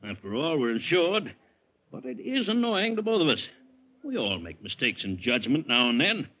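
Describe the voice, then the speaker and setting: An actor playing a brisk, paternal male bank executive in a 1940s radio drama style. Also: a little high, wildly swooping pitch. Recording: muffled, lo-fi 1940s radio recording.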